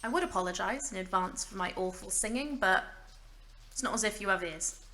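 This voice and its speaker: A woman's voice: medium pitch, moderate pace, bright, forceful tone. A woman playing a stranded, centuries-lonely mage in an audio drama, wry and bitter yet fragile, rambling in self-mocking asides. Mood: resolute. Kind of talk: audio drama monologue, naturalistic acting.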